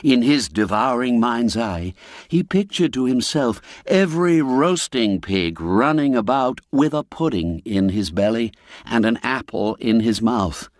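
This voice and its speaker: A man narrating a classic tale as a dramatic audiobook, theatrical and savouring each phrase with pauses. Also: medium pitch, lively intonation, no room sound, studio quality. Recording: music under the voice. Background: music.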